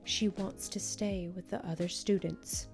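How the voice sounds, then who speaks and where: A woman voicing a fretful, soft-spoken mother in a children's storybook, with a resigned manner. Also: medium pitch, fairly even intonation, measured pace, gravelly, soft background music. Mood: tearful resignation.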